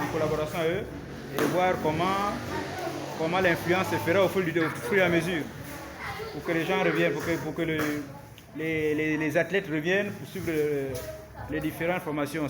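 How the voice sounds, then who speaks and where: A man coaching self-defense, speaking casually and haltingly to his followers from a karate dojo and repeating words as he goes. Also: fairly high pitch. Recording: loud crowd noise behind. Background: crowd of young students.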